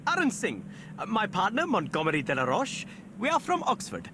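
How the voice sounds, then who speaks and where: A man's voice: sits high, wildly swooping pitch, fairly quick, noisy background. A man playing a younger Oxford scholar in a video game, a loyal partner who speaks precisely and teases dryly. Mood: wry. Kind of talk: dramatic video game acting.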